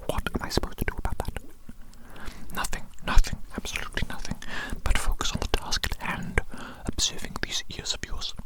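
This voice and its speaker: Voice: brisk, lightly acted. An ASMR performer voicing an eccentric evil doctor who dotes on a tiny creation, muttering and repeating phrases theatrically at a close microphone. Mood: troubled, brooding. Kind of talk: whispered ASMR medical roleplay.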